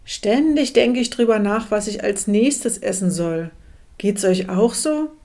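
Mellow, earnest female commenter. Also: mid-range voice, animated, measured pace. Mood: chatty, confessing. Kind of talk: radio drama collage reading of social media comments.

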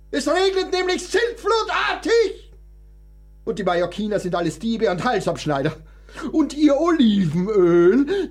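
Sickly, querulous composer, wildly animated. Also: male voice, extremely high pitch. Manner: dramatic, complaining, sarcastic. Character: sickly, querulous male composer, bitter and theatrical. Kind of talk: radio play acting.

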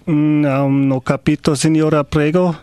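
An actor playing a patient Italian ice cream seller in a live radio play, with an Italian accent and short, halting answers. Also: medium pitch, level tone, dark tone, no echo, lightly acted. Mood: patient, puzzled.

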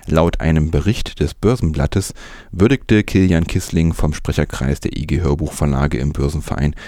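A man's voice: extremely low pitch, moderate pace, warm tone, no echo, studio quality. A man presenting scripted news as a narrator.

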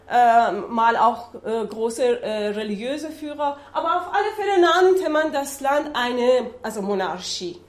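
A woman with a Persian accent gives a public lecture at an unhurried pace. Her voice sits high, and she pauses often with fillers while she searches for words. The room carries a noticeable echo. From Iran. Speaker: adult-education lecturer. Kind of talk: public lecture with map slides.

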